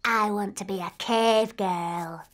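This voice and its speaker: Bright, wilful little princess. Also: female voice, mid-range voice, steady tone. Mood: wilful, defiant. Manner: emphatic, drawn-out childish declarations. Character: bold, wilful, excitable little cartoon princess.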